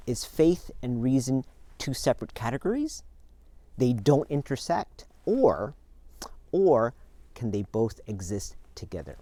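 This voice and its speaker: A man hosting a church video, speaking earnestly and in a measured way to his congregation. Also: mid-range voice, dark tone, no room sound.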